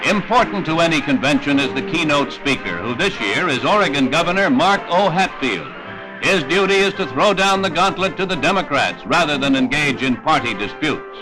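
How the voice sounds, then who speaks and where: An American man narrates a 1960s newsreel in a formal announcer's style. His voice sits a little high, and clearly audible music plays behind him as he reads his script. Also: lively intonation, normal speed, poor audio.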